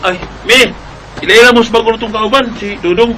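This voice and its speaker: A man's voice: high voice, a little fast, natural voice, rough recording. A man with a Bisaya accent playing a friendly young co-worker, with a crowd heard behind him. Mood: friendly, welcoming.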